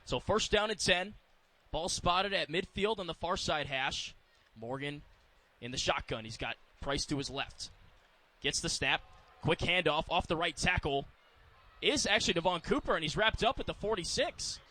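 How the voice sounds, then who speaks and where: An American man works as a radio football announcer, calling the action live. His voice is bright and forward, pitched on the high side. He describes the field in clipped phrases. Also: normal speed.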